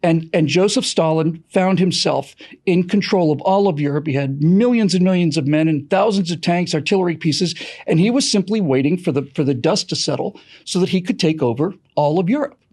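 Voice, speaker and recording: A man's voice: a little high, fairly quick, few pauses, a little mellow. An American man explaining things in an interview in an emphatic, storytelling way. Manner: emphatic, dramatic storytelling with self-corrections. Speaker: podcast creator being interviewed. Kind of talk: animated explanatory interview talk.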